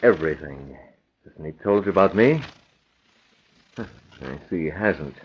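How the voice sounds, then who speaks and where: An actor playing a tough, menacing masked vigilante in an old radio crime drama, commanding and hard-boiled. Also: male voice, low voice, expressive, measured pace, husky, heavily acted. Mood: sly, pressing. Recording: muffled, noisy old radio recording.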